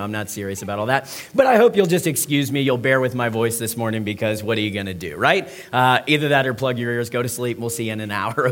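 A man with a strained, hoarse voice delivers a sermon, pitched fairly high for a man. He jokes and banters with the congregation, asking them to call out and raise hands. He speaks in a movie-theater church venue. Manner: joking, conversational, addresses crowd directly. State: hoarse, partly lost voice. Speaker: pastor.

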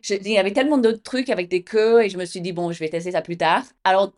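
A woman's voice: medium pitch, steady tone, fast talker, darkish tone. A woman interviewing a guest in casual chat, asking questions with many fillers. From United States. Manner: friendly, hesitant questions with fillers.

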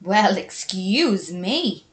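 A woman's voice: on the high side, lively intonation, normal speed, lightly acted. A woman narrator puts on a playful Irish woman from an audio story. She has a Dublin accent and a chirpy, teasing way of talking. She comes across as down-to-earth and cheeky. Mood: cheeky, loud.

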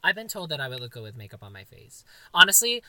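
A young man with a very high voice, co-hosting a casual chat and joking in a campy, playfully dramatic way. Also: very animated, fast talker, sharp tone, dry recording. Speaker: podcast co-host.

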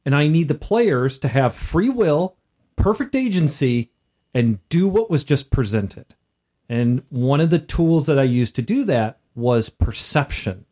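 Male podcast co-host, slightly high register, swooping expressive pitch. Casual game master chatting informally. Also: unhurried. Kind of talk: casual chat about tabletop gaming.

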